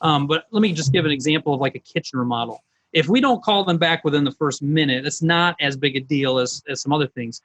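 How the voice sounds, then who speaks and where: A man's voice: on the high side, fast, a bit bright. A middle-aged man, a business coach, presenting online in an informal, folksy, conversational way.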